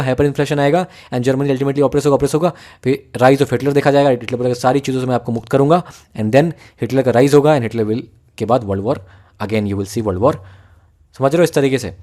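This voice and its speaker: A man with an Indian accent giving a fast, conversational exam-prep lecture, often checking that students follow. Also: mid-range voice, a little mellow. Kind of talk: lecture mixing Hindi and English.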